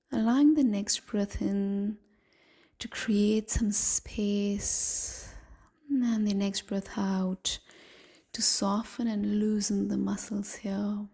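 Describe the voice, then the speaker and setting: A woman leading a guided meditation in a gentle, soothing, half-whispered voice, leaving long pauses between phrases. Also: mid-range voice, steady tone. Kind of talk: guided evening body scan meditation.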